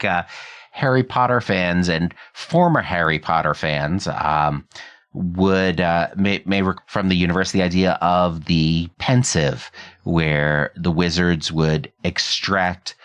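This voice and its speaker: A man with a fairly low voice hosts a podcast interview. He talks in a conversational way, putting questions and reflections to his guest. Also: slow, a bit bright.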